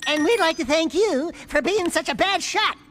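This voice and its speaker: An actor playing a cocky, wisecracking little furry sidekick in a video game, brash, flirtatious and sarcastic. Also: male voice, very high voice, expressive, moderate pace, over music. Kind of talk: animated video game acting.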